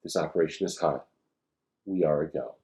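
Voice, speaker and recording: Storybook role: grumbling young spy leader read by a man, questioning, dark low tone. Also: fairly quick. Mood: resigned. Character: grumbling schoolboy spy, a reluctant team leader. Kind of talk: storybook read-aloud dialogue.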